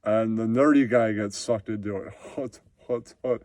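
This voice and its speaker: A man's voice: lowish voice, steady tone, moderate pace, a little mellow, dry recording, pitched below the performer's own voice, natural voice. A man voicing a dim-witted puppet movie critic, breaking up phrases with repetitive grunting chuckles. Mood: dim, amused.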